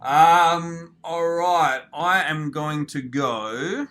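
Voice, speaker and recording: A man co-hosting a casual sports podcast, animated and blunt, laughing with his friend. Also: fairly high pitch, extremely slow. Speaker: podcast co-host.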